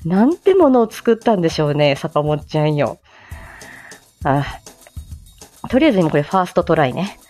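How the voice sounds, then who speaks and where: Giggly low-pitched woman chatting over music. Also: very animated, moderate pace, long pauses, darkish tone. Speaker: online audio show host and voice performer. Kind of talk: casual morning livestream chat.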